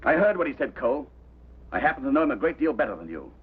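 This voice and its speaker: An actor playing a stern, impatient boss of a flying operation in a 1950s drama, curt and sarcastic, on a noisy vintage soundtrack. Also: male voice, mid-range voice, sing-song, fast talker, phone-quality sound, close to an everyday voice. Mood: grim, dismissive. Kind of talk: 1950s television drama acting.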